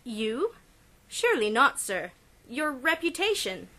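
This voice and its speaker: A man's voice: extremely high pitch, sing-song, slow, sharp tone, voice pushed up, heavily acted. A man voicing a genteel, nervous young Edwardian lady in a pulp audiobook, polite and over-apologetic. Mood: incredulous. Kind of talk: pulp adventure audiobook character.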